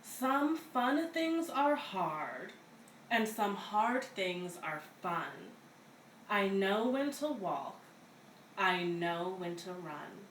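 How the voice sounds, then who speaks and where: Woman, children's read-aloud, very unhurried pace, lively pitch swings, expressive storyteller delivery. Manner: slow, deliberate, animated delivery for young children. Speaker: read-aloud story reader at a community center. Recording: roomy, distant mic, faint background noise.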